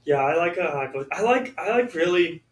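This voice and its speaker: A man hosts a podcast in a loose, slangy way, peppering his talk with "like". His voice sits fairly high for a man. He is heard from a little way off the mic, in a room with a strong echo. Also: lively intonation, brisk. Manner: laid-back, slangy, lots of "like". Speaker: podcast host. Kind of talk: casual interview chat. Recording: roomy echo, distant mic.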